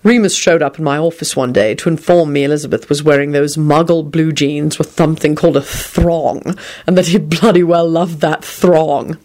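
A woman's voice: deep voice, fairly even intonation, moderate pace, a little mellow, lightly acted. A woman voicing a flustered, dry-witted British man who is easily exasperated, in a narrated story. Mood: awkward.

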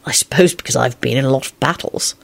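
A woman's voice: very deep voice, fast, vocal fry, husky, voice pushed down, heavily acted. A woman voicing a brooding, stubborn and protective young male wizard in a storybook reading, earnest and subdued. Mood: subdued, hesitant.

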